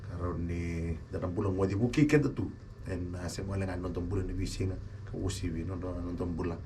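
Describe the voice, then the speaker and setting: A man's voice: deep voice, fairly quick. A man hosting a radio talk show, answering callers with brief acknowledgments and upbeat replies. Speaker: radio host. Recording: distant mic with clear noise.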